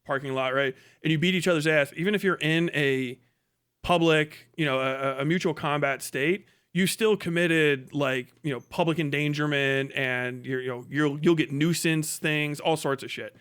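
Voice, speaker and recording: A man's voice: fairly high pitch, moderate pace, fairly bright tone, smooth. A man ranting and telling stories casually on a live stream, blunt and swearing freely. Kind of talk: casual, profane ranting and storytelling.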